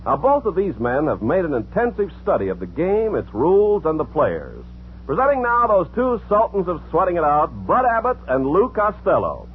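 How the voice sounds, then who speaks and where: Very animated, tinny-sounding male radio announcer. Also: on the high side, normal speed. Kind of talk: 1940s radio show introduction. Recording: tinny old radio recording, faint noise.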